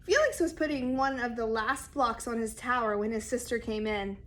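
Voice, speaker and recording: A woman reading a children's picture book aloud expressively and deliberately, speaking straight to young listeners. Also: fairly high pitch, a bit bright, a little hiss or hum. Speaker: read-aloud storyteller.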